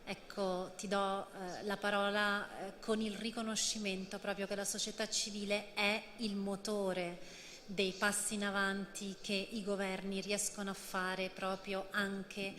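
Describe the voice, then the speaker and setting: Bright-voiced woman chairing in an echoey hall. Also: medium pitch, little pitch movement. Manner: halting, with frequent "eh" fillers. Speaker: minister chairing a session. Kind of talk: introducing a speaker. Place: conference hall. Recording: roomy hall with reverb and faint noise.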